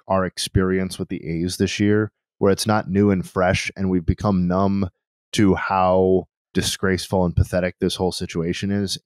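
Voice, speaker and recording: Low voiced guy on a sports podcast, talks through his points at length, dry studio sound. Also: a little mellow, dry recording. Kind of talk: casual sports discussion.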